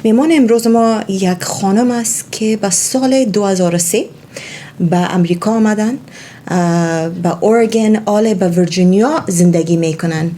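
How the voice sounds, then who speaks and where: A woman's voice: medium pitch, very fast, a little hiss or hum. A woman hosting a talk show and interviewing her guest, talking with many fillers and restarts. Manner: warm, hesitant, with many fillers.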